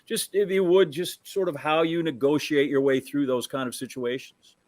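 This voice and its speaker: A man's voice: on the high side, fairly even intonation, moderate pace, a little mellow, no echo. Dry-sounding American man chatting sports. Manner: anecdotal, opinionated. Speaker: sports talk host, interviewer. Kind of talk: casual sports chat.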